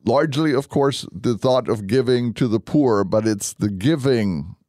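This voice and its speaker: A man's voice: mid-range voice, a little slow, dark tone, dry recording. Man hosting religious radio, close dry sound. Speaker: radio show host, deacon.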